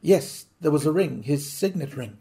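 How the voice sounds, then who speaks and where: Sharp Victorian detective, precise and measured, slightly raised pitch, gravelly, read by a man. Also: lively intonation. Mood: explaining. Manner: crisp, precise, deliberate. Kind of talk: audiobook reading.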